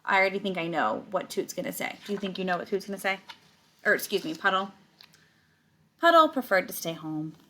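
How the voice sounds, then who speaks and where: A woman's voice: mid-range voice, fairly quick. A woman reading a picture book aloud to children like a teacher, stretching words and adding casual explaining asides to her young listeners. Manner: animated storytelling, stretches words, chats directly to young listeners.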